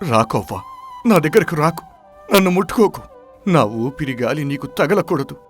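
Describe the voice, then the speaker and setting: A desperate, dying young grandson, pleading. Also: male voice, on the high side, expressive, normal speed, slightly rough, faint music behind. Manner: pleading, strained cries. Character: dying, self-sacrificing grandson, desperate and devoted.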